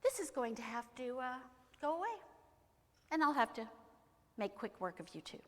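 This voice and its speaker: A woman's voice: on the high side, sing-song, normal speed, fairly bright tone, voice pushed up. A woman voicing a fiery red, bossy and greedy goblin with a menacing, exclaiming way of talking. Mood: bossy menace. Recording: echoing hall with long reverb.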